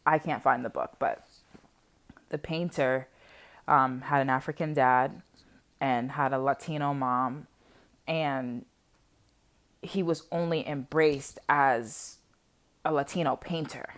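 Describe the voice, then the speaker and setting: A woman in her twenties talking casually and off the cuff to viewers, with an animated, digressive, conversational way of speaking. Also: extremely low pitch, slow, long pauses. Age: 20s. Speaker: vlogger sharing personal reflections on history. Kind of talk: casual unscripted chat to viewers.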